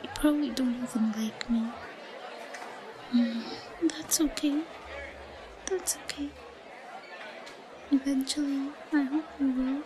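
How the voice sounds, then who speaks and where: Breathy, high-pitched obsessive shy schoolgirl played by a woman. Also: fairly even intonation, crowd noise. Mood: possessive devotion. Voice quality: breathy, whispery. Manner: giggly, halting. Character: shy, obsessive, lovesick yandere schoolgirl, timid and possessive. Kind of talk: intimate roleplay audio, breathy murmuring to herself. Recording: noisy, crowded ambient background.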